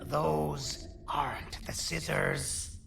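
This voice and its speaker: Video game villain: grandiose monster surgeon, heavily gravelly and high-pitched, looking down on humans, in a roomy echo. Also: male voice, animated, extremely slow, recorded from a distance, crowd noise, exaggerated voice. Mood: contemptuous, grandiose. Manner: theatrical, condescending, grandiose. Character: arrogant mad surgeon monster, contemptuous of humans. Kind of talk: video game cutscene voice acting.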